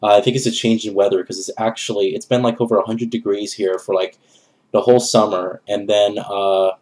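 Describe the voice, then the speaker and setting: A man's voice: lowish voice, flat delivery, fairly quick, darkish tone. Nasal, congested young man, casual talk. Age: young adult. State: has a cold. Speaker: gaming vlogger. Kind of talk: casual rambling channel update to camera. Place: small bedroom, indoors.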